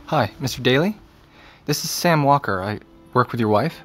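A man's voice: mid-range voice, expressive, moderate pace, fairly bright tone, soft background music, lightly acted. An actor playing an awkward, curious seventeen-year-old American boy in a naturalistic audio drama, understated in manner. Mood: nervous, apologetic. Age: young adult. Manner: hesitant, understated. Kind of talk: naturalistic audio fiction acting.

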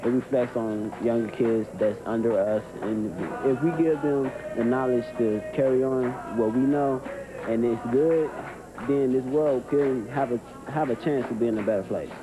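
A man's voice: medium pitch, normal speed, music in the background, rough recording. A teenage boy giving an earnest, reflective interview answer indoors in a shop.

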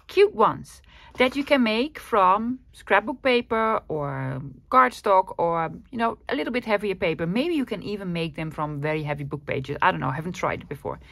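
A woman from the Netherlands with a Dutch accent, hosting a casual, chatty paper craft tutorial at her desk and often pausing with fillers. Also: mid-range voice, a bit bright, dry recording. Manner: chatty, self-deprecating, with frequent fillers. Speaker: craft channel host.

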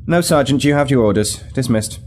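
An actor playing a weary but resolute military starship captain in an audio drama, measured, formal and authoritative. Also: male voice, medium pitch, lively intonation, darkish tone, a little hiss or hum, natural voice. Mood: formal, direct.

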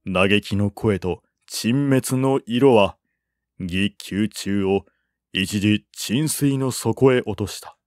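A man reading a historical war novel as a narrator, in a measured, formal and dramatic manner. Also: lowish voice, a little mellow, dry recording, clean studio sound. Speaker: audiobook narrator.

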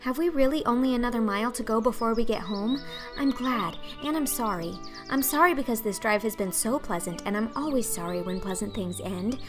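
A woman's voice: fairly high pitch, a little fast, over music, close to an everyday voice. A woman voicing a dreamy, talkative, imaginative orphan girl in an audiobook, breathless and earnest. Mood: eager, earnest.

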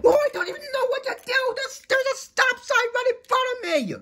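A woman voicing a flustered, slow-witted cartoon truck learning to drive, whining and apologetic. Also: high-pitched, animated. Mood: whiny, panicked. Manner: whiny, hesitant, apologetic.

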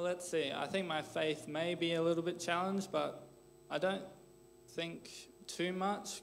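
Gravelly-voiced young Australian man. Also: a little high, a little hiss or hum. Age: 20s. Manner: relaxed, loose, with fillers like "kinda" and "yeah". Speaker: interviewee, church member. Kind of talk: casual answers on stage. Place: church stage, large hall. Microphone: handheld mic.

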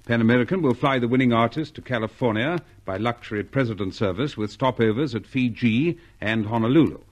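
A man's voice: medium pitch, brisk, muffled recording. A man hosting a 1950s radio show with formal, courteous announcing and scripted interview talk.

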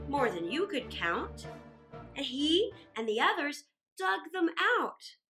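A woman voicing a kindly, wise father in a storybook, patient and gently teasing as he explains. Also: high voice, full character voice. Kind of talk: storybook character voice.

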